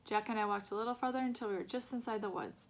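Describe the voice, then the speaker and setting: A woman reading a storybook aloud as an expressive narrator. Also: mid-range voice, fast talker.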